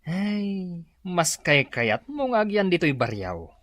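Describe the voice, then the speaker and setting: A man's voice: high voice, big pitch swings, takes it slowly, fairly bright tone, no echo, exaggerated voice. A man playing an older relative who teases and laughs at a reunion in a comedy radio drama. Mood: teasing. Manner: teasing, laughing.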